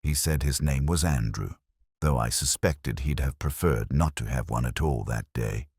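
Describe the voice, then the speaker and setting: An actor playing a weary, reflective police inspector, narrating a mystery in a measured, literary, brooding manner. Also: male voice, extremely low pitch, darkish tone, breathy edge, no room sound, clean studio sound. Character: weary, reflective male police inspector recounting his case. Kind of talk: scripted first-person mystery narration.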